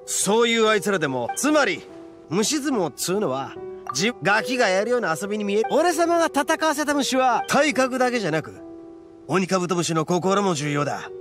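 A young male oni gang boss from an anime game. He blusters and boasts in rough street talk, his pitch leaping about in a very lively way. Soft music plays behind him. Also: fairly quick. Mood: excitable.

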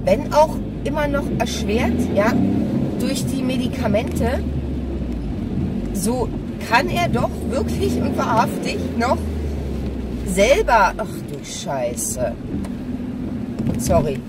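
A woman chatting casually and candidly to her viewers as she drives, in a rambling vlog style. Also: a little high. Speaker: vlogger. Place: inside a car while driving. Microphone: camera mounted in front, at a distance. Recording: car cabin, loud driving noise, distant mic.

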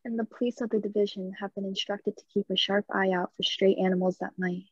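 A woman's voice: mid-range voice, steady tone, fast talker, no echo, highs cut off, natural voice. A woman playing a haunted, fearful young man in a dramatic radio play. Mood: subdued, troubled. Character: haunted, terrified young man, Jonathan Harker. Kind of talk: stage-style radio play acting.

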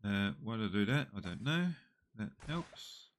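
A man in his forties with a slightly nasal voice from a cold, quietly talking through a mobile puzzle game on a headset. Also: on the low side, very animated. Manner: slow, muttering play-by-play, often trailing off. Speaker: gaming channel host. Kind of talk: casual mobile game commentary. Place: small room indoors.

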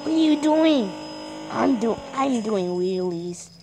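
A woman's voice: on the high side, expressive, takes it slowly. A woman doing playful gameplay commentary with roleplay banter, on a noisy home recording. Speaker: gamer playing a roleplay.

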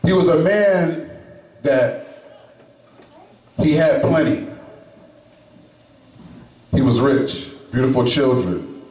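A middle-aged man preaching a sermon in a small chapel into a handheld microphone, emphatic and pausing often. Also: medium pitch, sing-song, a little mellow. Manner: slow, emphatic preaching with pauses. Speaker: lay preacher. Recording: echoey room, camera at a distance.

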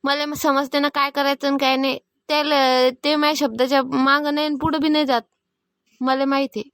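A woman's voice: high voice, little pitch movement, fairly quick, a bit bright, dry recording. A woman voicing a fed-up, stubborn village daughter-in-law in a cartoon comedy, defiant and insistent, with a rural Maharashtrian accent. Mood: fed-up, argumentative. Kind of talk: animated family comedy acting.

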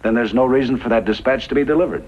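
An actor playing a bossy, calculating gunrunner gang boss in a 1950s radio western. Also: male voice, mid-range voice, racing, lightly acted. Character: scheming, commanding male gunrunner gang boss. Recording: dull 1950s radio recording.

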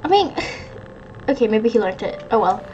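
A woman's voice: fairly high pitch, sing-song, normal speed, warm tone, lo-fi sound. A young woman, slightly sick, giving chatty, reactive gameplay commentary and thinking aloud. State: a little sick. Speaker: gamer playing through a story quest. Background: game music.

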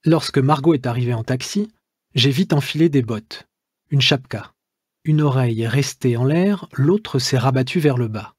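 Male author narrating his own audiobook; husky, close dry studio sound, measured literary delivery. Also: medium pitch, no room sound. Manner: measured, literary first-person reading.

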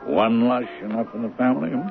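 An actor playing a smooth, patronizing, worldly show-business man in a theatrical 1970s radio drama. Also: medium pitch, quick pace, lightly acted. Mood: smug, dismissive. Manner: theatrical, condescending, calls people baby and honey. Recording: muffled vintage radio broadcast, music underneath.

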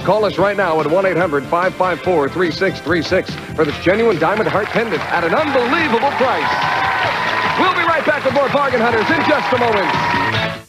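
Male prize announcer, high voice, slick scripted sell over music. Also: expressive, normal speed, a loud crowd, low-quality recording. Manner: polished salesman patter. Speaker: game show announcer.

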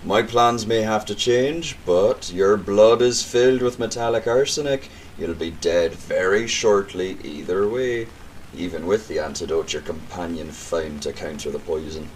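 A man's voice: deep voice, a little slow, a little hiss or hum. A man playing a sly, gloating criminal mastermind in an audio drama, taunting and theatrical. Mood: menacing.

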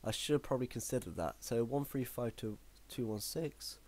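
A man with a croaky voice commentating his own online battle, thinking aloud with frequent ums and self-corrections. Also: medium pitch, animated, normal speed, darkish tone. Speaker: gaming commentator. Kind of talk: live battle commentary over gameplay.

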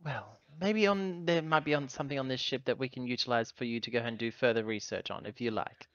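A man's voice: medium pitch, a little fast, a bit bright, no room sound, dull audio, close to an everyday voice. A man playing a courteous, inquisitive ship's officer in a tabletop game, speaking politely. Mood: politely probing.

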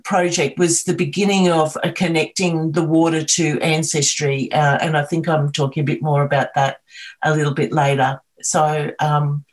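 A middle-aged Australian woman, a video artist, talking about her work on a video call, with many pauses, ums and restarts. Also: deep voice, little pitch movement. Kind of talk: artist talk on a video call, sharing screen.

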